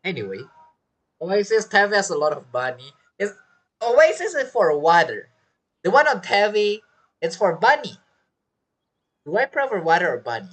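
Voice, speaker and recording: A game streamer with a husky, medium-pitched voice commentates casually. The talk rambles as thoughts are spoken aloud, with very lively intonation. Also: normal speed, pauses often. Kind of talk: casual live gameplay commentary.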